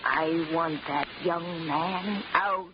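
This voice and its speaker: A woman's voice: on the low side, drawn-out words. A woman acting a bossy, primly vengeful old grandmother in a 1940s radio drama, imperious and scolding. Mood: cold scheming. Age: older. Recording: muffled, hissy 1940s radio recording.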